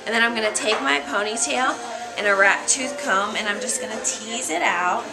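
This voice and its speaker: A woman's voice: on the high side, moderate pace, vocal fry, low-quality recording. A young American woman giving a casual how-to demonstration as a hair stylist, in an informal, loosely phrased, easygoing manner. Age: young adult. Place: hair salon, indoors. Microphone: camera mic a short distance away. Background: music.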